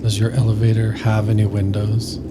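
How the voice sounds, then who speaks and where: Man, guided meditation narrator, low voice, slow soothing delivery, loud background noise. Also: monotone. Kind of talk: scripted guided sleep meditation.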